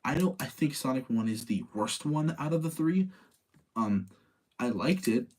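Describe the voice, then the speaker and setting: A man's voice: medium pitch, lively intonation, normal speed, a little mellow. A man hosting in a casual, informal chat style, freely giving his own opinions as he talks to listeners. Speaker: host of a live ranking show about video game movies.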